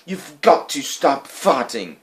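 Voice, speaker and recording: A man's voice: medium pitch, big pitch swings, takes it slowly, voice pushed up. A man voicing an earnest young child in a storybook, pleading in an emphatic, heartfelt way. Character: earnest, pleading young boy and girl siblings.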